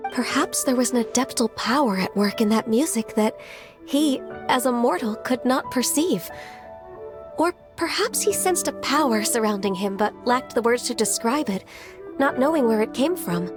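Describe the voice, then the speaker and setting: An actress playing a gentle, shy young woman in a video game, soft-spoken, earnest and a little hesitant. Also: fairly high pitch, expressive, moderate pace, lightly acted. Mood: thoughtful. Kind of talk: video game character acting. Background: music.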